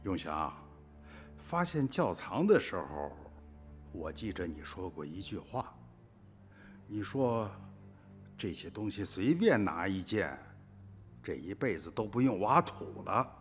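A man's voice: deep voice, sing-song, slow pace, pauses often, soft background music, full character voice. An actor playing a principled, earnest old farmer and grandfather in a radio drama, speaking in a plain, folksy way. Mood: probing, suspicious.

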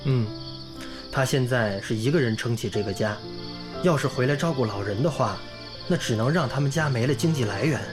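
An actor playing a devoted, earnest young village schoolteacher in a radio drama. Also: male voice, medium pitch, a little fast, natural voice. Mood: earnest, resolute. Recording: music behind the voice.

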